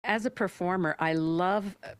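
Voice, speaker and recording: A woman's voice: fairly low pitch, normal speed, no room sound. A woman who performs in audio drama, talking personally and conversationally on a panel.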